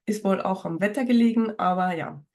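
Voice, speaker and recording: A woman speaks straight to her viewers as a spiritual coach, a bit hoarse from a lingering cold. Her voice sits at an ordinary middle pitch for a woman. She talks in a loose, rambling way, full of fillers and self-corrections. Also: brisk, a little mellow. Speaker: spiritual coach and channel host. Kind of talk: casual talk to camera.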